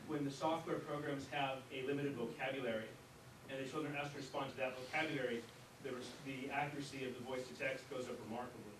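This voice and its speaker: Fast-talking man, far from mic. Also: mid-range voice, fairly even intonation. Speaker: audience participant. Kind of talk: informal explanation at a seminar. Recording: echoey room, distant mic, clear noise.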